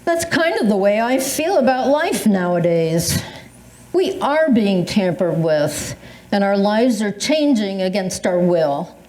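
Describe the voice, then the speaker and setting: A woman's voice: mid-range voice, very animated, slow pace, a little mellow. An older woman in an amateur staged reading, playing a dramatic senior convinced of conspiracies, with a theatrical, emphatic delivery. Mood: ominous. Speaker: amateur readers theatre performer. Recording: echoey room recording.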